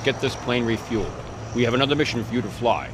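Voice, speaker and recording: A man's voice: mid-range voice, fast, natural voice. A man playing a cold, commanding agency boss in a video game, giving curt, authoritative speech. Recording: clear vehicle and outdoor noise.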